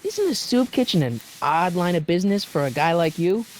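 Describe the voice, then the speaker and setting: A man's voice: sits high, sing-song, fairly quick, slight background noise. An actor playing a polite, inquisitive young man in a video game, talking casually and a little hesitantly as he probes. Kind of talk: video game dialogue acting.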